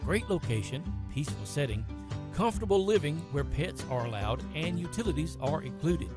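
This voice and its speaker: A man reading a scripted local radio ad in a steady, even delivery. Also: a little high, normal speed. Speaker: ad announcer. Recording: loud music bed underneath.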